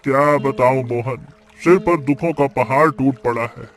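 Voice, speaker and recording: A man's voice: mid-range voice, moderate pace, close to an everyday voice. A man playing a troubled but eager small-eatery cook in a cartoon moral story, speaking expressively and emphatically. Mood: exasperated, worried. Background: faint music.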